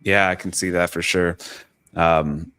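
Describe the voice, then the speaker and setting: Male podcast host, very deep voice, Swiss German native speaker, casual and chatty, dry close sound. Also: steady tone, measured pace, pushed and bright, smooth, dry recording. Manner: casual, filler-heavy, friendly questions. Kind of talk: interview conversation.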